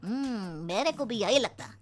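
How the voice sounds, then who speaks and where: A woman's voice: a little high, lively intonation, measured pace, noisy background, voice pushed down. A woman voicing bewildered, curious neighbors with a South Indian accent and a naive, exclaiming manner in a comic cartoon story. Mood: baffled. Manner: puzzled, exclaiming, mock-naive. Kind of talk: animated comic story acting.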